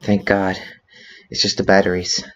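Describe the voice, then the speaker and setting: A man's voice: deep voice, monotone, a bit bright. A man voicing a nervous, guilt-ridden scientist with a heavy stutter in a fan dub of a video game. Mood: flustered, relieved. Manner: heavy stutter, halting, anxious. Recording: slightly dull lo-fi audio.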